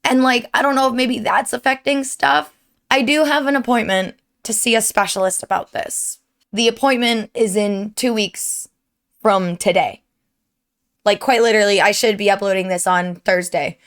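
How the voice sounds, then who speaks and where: A woman's voice: mid-range voice, steady tone, normal speed. Frustrated young woman with a sore throat. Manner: rambling, earnest, frustrated. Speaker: streamer and YouTuber. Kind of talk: candid personal update to viewers. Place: home room at her desk.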